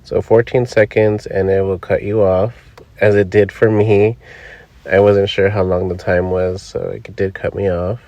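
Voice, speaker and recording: Man, lowish voice, casual review host, step-by-step, relaxed, dry close recording. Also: unhurried, no room sound, muffled recording. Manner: relaxed, explains step by step with fillers. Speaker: Halloween channel host. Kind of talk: casual product review and demo. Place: indoors, voice-over recorded apart from the footage.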